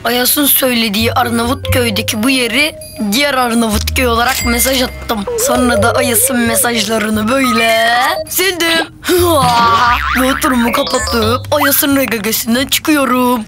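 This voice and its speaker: A woman's voice: a little high, normal speed, over loud music, natural voice. A gloating young troublemaker from a children's cartoon, played by a woman. He bosses his sidekick around with a smug, scheming edge and a raised voice. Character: scheming boastful boy, neighborhood troublemaker.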